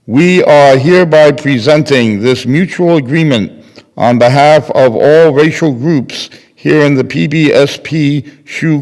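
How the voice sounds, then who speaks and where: A man's voice: mid-range voice, a little mellow. An older man playing a solemn prisoner representative, formally reading a declaration in readers theater. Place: large temple hall, at a lectern. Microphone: microphone on a stand at lectern. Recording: reverberant hall recording.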